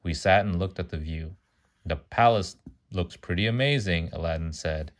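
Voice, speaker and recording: A man with a low-pitched voice reads a storybook aloud for a child. He sometimes trips on a word and fixes it, and he slips in casual asides about the story. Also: normal speed. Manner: stumbles over words and corrects himself.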